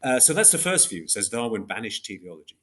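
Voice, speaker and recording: A man with a fairly low voice speaks as an academic guest in an interview. He explains step by step in a measured, somewhat hesitant way, often reaching for an example. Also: big pitch swings, a bit bright. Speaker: podcast guest, philosopher of biology.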